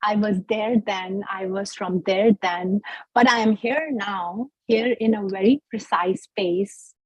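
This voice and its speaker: Slow, husky-voiced woman poet. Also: mid-range voice, no echo, muffled recording. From India. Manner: slow, measured, reflective, with soft laughs. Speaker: guest poet. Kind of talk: poetry reading and interview answers.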